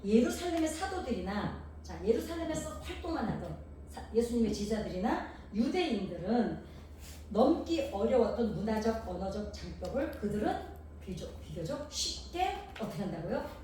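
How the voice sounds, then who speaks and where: A woman's voice: fairly high pitch, very animated, moderate pace, warm tone, slight background noise. A middle-aged Korean woman with a Jeolla-tinged accent preaching a sermon, asking the congregation questions and telling homely stories. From Gangwon Province, South Korea. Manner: poses rhetorical questions to the congregation; homely, anecdotal storytelling. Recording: echoey hall from far off.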